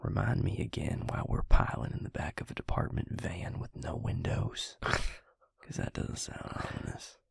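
A man's voice: low-pitched, moderate pace, vocal fry, slightly rough, no room sound, in a whisper, exaggerated voice. A man playing an easygoing, teasing vampire with a Southern US accent and a dry drawl in an audio roleplay. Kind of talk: intimate audio roleplay acting.

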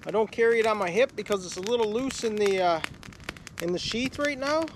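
A man's voice: high voice, brisk. A middle-aged man from Newfoundland, Canada, chatting casually and easygoing in a sunny forest, picked up by a camera a few metres away. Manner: rambling, easygoing, stumbles and restarts often. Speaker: bushcraft vlogger. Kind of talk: casual outdoor vlog talk. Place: outdoors in a sunny forest by a lake. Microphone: camera on a tripod a few metres away. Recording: outdoor camera audio with clear background noise.

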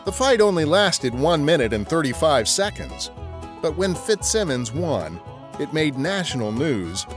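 A man narrating a scripted history podcast as a storyteller. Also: medium pitch.

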